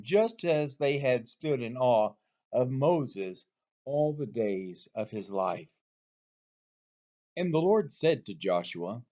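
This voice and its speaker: A man reading the Bible aloud from a script as a church read-along, in measured, deliberate phrases, on a dull recording. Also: on the high side, a little mellow.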